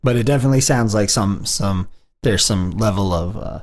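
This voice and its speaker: A man's voice: on the low side, moderate pace. A man co-hosting a podcast in casual chat, jokey and laughing often. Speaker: podcast co-host.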